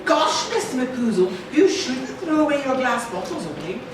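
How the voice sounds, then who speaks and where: A woman voicing a brisk, nagging lady organiser in a live children's puppet show, full of scolding exclamations. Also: high-pitched, sing-song. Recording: noisy echoey hall, far from mic.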